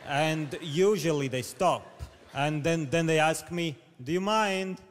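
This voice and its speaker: A man from Latvia with a Latvian accent, telling hesitant, stammering deadpan jokes on a theatre stage into a handheld mic. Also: fairly high pitch, slow. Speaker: comedian contestant. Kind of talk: stand-up comedy audition. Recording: reverberant theatre hall, audience noise.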